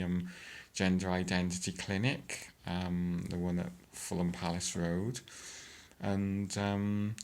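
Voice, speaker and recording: A woman, chatting hesitantly in a casual vlog update, with many fillers and frequent throat-clearing. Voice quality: deep voice. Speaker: vlogger.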